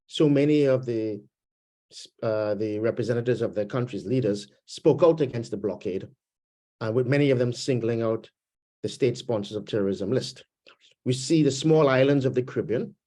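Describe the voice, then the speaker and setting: A man with a Trinidadian accent speaking as a webinar panelist, measured and thoughtful, pausing often with fillers over a video call. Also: medium pitch, mellow. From Trinidad and Tobago roots. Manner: measured, pauses with many fillers. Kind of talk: panel discussion, political commentary. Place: home, Canada.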